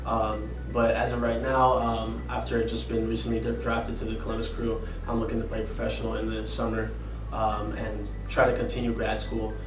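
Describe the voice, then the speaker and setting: A man in his twenties who grew up in Panama and spoke Spanish first, telling his life story in a relaxed, rambling way with many fillers. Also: lowish voice, normal speed, roomy sound, far from the mic, over music, low-quality recording. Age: 20s. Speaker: student athlete interviewee. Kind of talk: casual personal storytelling.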